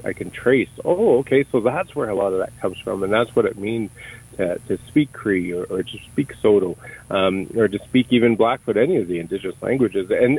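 A man's voice: on the low side, darkish tone, husky, rough recording. A middle-aged man from Canada, speaking as an interview guest in a thoughtful, searching way with many restarts and repeated words. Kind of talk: conversational interview.

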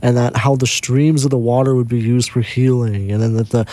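A man's voice: medium pitch, level tone, fairly quick, dark tone, no echo. A man hosting an interview, asking long, hesitant questions that stumble over words. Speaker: host. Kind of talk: conversational interview.

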